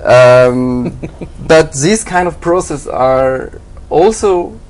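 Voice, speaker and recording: A man's voice: a little high, lively intonation, drawn-out words, darkish tone, poor audio. A man in an interview, answering hesitantly with many fillers and restarts. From Switzerland. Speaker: graphic designer, interview guest. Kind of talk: halting conversational interview answers.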